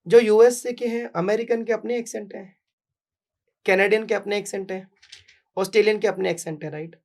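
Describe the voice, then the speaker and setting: Gravelly-voiced Bihari man coaching. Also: sits high, fairly even intonation, fairly quick, a little mellow. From Bihar, India. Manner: mixes Hindi and English, emphatic, repeats "right?". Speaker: English coach, guest. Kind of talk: casual podcast interview.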